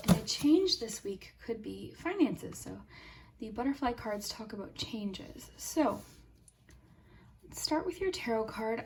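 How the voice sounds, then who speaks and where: A young woman with a slightly hoarse, sore throat giving a chatty tarot reading to her viewers, friendly and soft-spoken, sometimes dropping to a whisper. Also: on the high side, heavy background noise. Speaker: tarot reader and channel host. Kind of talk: chatty pick-a-card tarot reading to camera.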